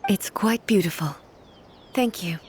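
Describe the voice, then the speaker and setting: A woman playing a composed, reflective immortal female ruler in a video game, speaking in measured, formal phrases. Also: mid-range voice, a little mellow, a little hiss or hum, close to an everyday voice. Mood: calm, gracious. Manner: measured, formal, archaic wording. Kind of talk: scripted video game character dialogue.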